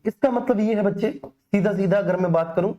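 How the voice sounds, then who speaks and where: Mellow-voiced Indian woman teaching a class. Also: medium pitch, fairly quick, lo-fi sound. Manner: repeats key phrases for emphasis; addresses students familiarly. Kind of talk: explanatory exam-coaching lecture.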